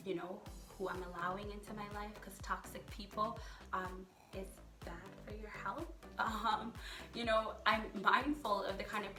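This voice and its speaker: A young adult woman vlogger talking casually and motivationally to her followers, chatty and candid, with emphatic turns of phrase. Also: on the high side. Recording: echoey room, music underneath. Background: music.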